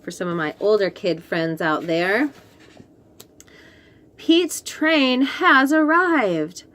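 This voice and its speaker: A woman reading aloud to young children as host, expressive and encouraging, with chatty, teacherly asides. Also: mid-range voice, slow. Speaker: children's story reader and host.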